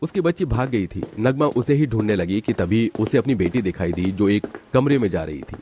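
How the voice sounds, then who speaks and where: Low-voiced, animated male storyteller. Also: moderate pace, a little hiss or hum. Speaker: story narrator. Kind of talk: scripted dramatic storytelling narration.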